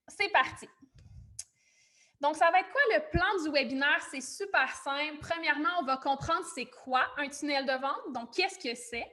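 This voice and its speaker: A woman's voice: high voice, sharp tone. A woman in her thirties with a Québécois French accent, hosting an informal online webinar in an effusive, welcoming way. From Quebec, Canada. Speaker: webinar host and business coach.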